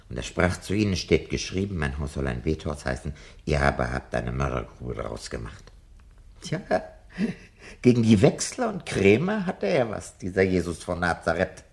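A man's voice: low voice, gravelly, a little mellow. An actor playing a disillusioned elderly bookish man in a German radio drama, speaking in a theatrical, ruminative and sardonic way. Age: older.

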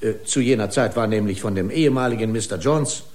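An actor playing a pedantic, accusing corporate lawyer in a German radio drama, speaking in formal, pompous legal style. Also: male voice, fairly low pitch, fast, a little mellow, natural voice. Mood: pedantic, indignant.

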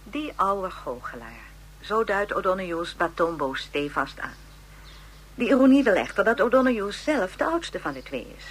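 A woman playing an encyclopedia narrator in a radio drama, giving formal, expressive, measured biographical narration. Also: medium pitch, husky, poor audio. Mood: informative, wry.

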